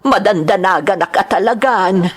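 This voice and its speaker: Anxious mother, played by an actress, audio soap drama; worried, lamenting delivery, medium-pitched voice. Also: normal speed, husky, natural voice. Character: anxious, fretting mother of a grown son.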